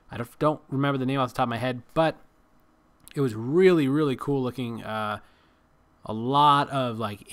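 A man's voice: medium pitch, a bit bright. A man giving casual, rambling gaming news commentary, hesitant and informal in his wording.

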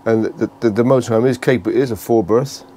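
A British man giving a casual, unscripted walkthrough tour, speaking haltingly and repeating phrases as he goes. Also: mid-range voice, warm tone, a little hiss or hum. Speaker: vlogger giving a motorhome tour.

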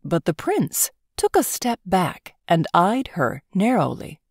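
Expressive, lowish-voiced woman reading a storybook. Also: takes it slowly, breathy edge, no echo. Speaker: storybook narrator. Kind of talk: expressive scripted read-aloud of a children's fairy tale.

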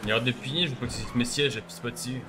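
A man's voice: mid-range voice, steady tone, very fast, background noise. A man improvising casual game roleplay as a crime boss, bossy and slangy, with swearing.

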